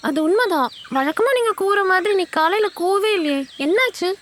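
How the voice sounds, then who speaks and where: A woman's voice: very high-pitched, lively intonation, fairly quick, slight background noise. A woman voicing an inquisitive, chatty cartoon hen with a gossipy, questioning manner. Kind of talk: children's cartoon voice acting. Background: loud music.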